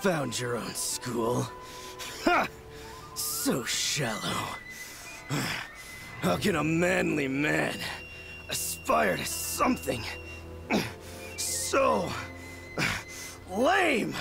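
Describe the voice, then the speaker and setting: An actor voicing a foolish, melodramatic young man in a video game, halting and overwrought. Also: medium pitch, very animated, vocal fry, music in the background, exaggerated voice. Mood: boastful.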